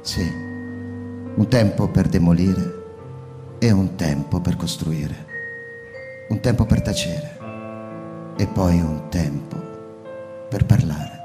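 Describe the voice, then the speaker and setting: An actor playing a shaken male survivor of a city bombing, who narrates a radio drama and either cries out his memories urgently or speaks in a hushed, slow voice. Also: deep voice. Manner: urgent, near-shouted remembering, then hushed and slow. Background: music.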